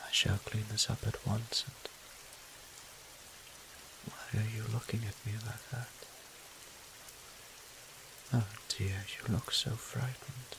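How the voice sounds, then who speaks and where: A man playing a gentle, doting vampire priest and healer in a roleplay audio drama, speaking softly in formal, old-fashioned, courteous phrasing. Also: on the low side, steady tone, slow, pauses often, heavy background noise. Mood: worried, reassuring. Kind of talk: scripted roleplay audio drama, addressing the listener.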